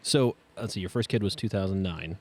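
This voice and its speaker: A man's voice: low-pitched, brisk, darkish tone, slight background noise. A man hosting a podcast interview, asking friendly questions with joking asides. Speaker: podcast host.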